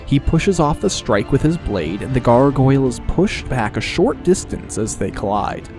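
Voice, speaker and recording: A man's voice: mid-range voice, very animated, normal speed, a little mellow. A man narrating a scripted fantasy audiobook in a dramatic storytelling style. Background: music.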